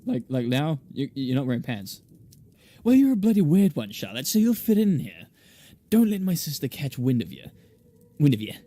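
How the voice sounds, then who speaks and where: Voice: mid-range voice, sing-song, moderate pace, dark tone. A game player voicing a bluntly friendly, cheeky woman who works security and talks in slang. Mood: blunt, amused.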